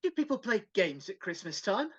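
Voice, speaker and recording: A woman playing a grumpy but softening old male miser in a live dramatic reading over a video call. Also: mid-range voice, sing-song, normal speed. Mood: softening, earnest. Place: remote video call at home. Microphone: video call microphone. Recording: slightly dull video-call audio.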